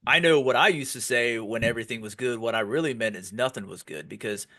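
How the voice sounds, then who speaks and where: Bright, forceful American man hosting a podcast. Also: mid-range voice, fast. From York, Pennsylvania, United States. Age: 40s. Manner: blunt, informal, directs the group. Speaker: podcast host.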